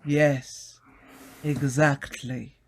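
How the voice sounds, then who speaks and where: A man's voice: medium pitch, little pitch movement, extremely slow, heavy background noise. A man acting a haughty, cunning heir and murderer in an old-time radio mystery, theatrical and condescending. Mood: smugly confessing.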